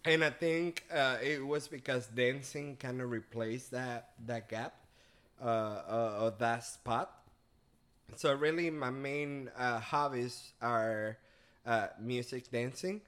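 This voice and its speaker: A man's voice: medium pitch, slow pace, a bit bright. Man, podcast guest; earnest, reflective, restarts often. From Venezuela. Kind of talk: casual chat.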